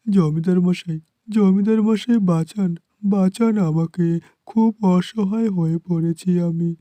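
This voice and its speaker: A man voicing a poor, desperate village farmer in a cartoon, pleading and haltingly repeating his words. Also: high voice, mellow, dry recording.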